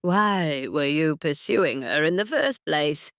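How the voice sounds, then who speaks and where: A woman voicing a formal, dryly exasperated male household retainer in an audiobook romance, chiding with deliberate phrasing. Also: low voice, expressive, fairly bright tone, no room sound, full character voice.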